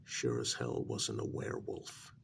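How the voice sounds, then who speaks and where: A man playing an anxious working-class older brother in a soft-spoken ASMR role-play, speaking in a hushed, deliberate, insistent way. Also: completely flat delivery, creaky, a little hiss or hum, exaggerated voice. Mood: urgent, protective. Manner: low, deliberate, insistent, half-whispered warning.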